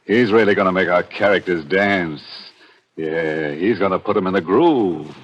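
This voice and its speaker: An actor playing a ghoulish, punning horror host, tongue-in-cheek and mock-sorrowful, in 1940s radio style. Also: male voice, low voice, normal speed. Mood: macabre glee. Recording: dull old radio, faint music.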